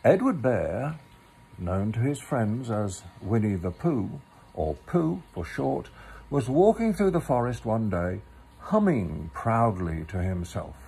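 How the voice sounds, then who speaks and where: A man reading a children's story aloud with gentle, deliberate, theatrical phrasing. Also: on the low side, very animated, creaky, slightly rough, rough recording. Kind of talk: expressive storybook narration.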